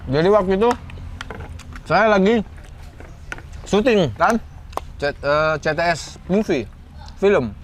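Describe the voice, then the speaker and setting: A man chatting in a relaxed, storytelling way, his voice sitting a bit high for a man. A faint hiss of background noise sits under the recording. He laughs easily and keeps the talk loose. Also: slow pace, pauses often. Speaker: comedy screenwriter. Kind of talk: casual podcast chat.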